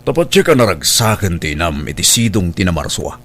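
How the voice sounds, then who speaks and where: A man plays a steady Filipino uncle in a radio drama. His low voice is measured and calm, counseling like a patient elder relative. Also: a little hiss or hum, exaggerated voice. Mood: calm, consoling. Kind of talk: Ilocano radio drama acting.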